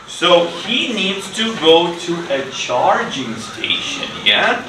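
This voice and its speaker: A man's voice: sits high, big pitch swings, slow. A middle-aged man teaching an English class, giving short prompts and repeating phrases for learners to echo. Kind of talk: classroom ESL lesson, questioning students. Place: classroom, standing at the board. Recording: echoey noisy classroom, distant mic.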